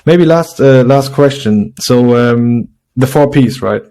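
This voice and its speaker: A man hosting a podcast interview in a casual way, haltingly searching for his words as he talks. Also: medium pitch, dark tone, clear, resonant tone.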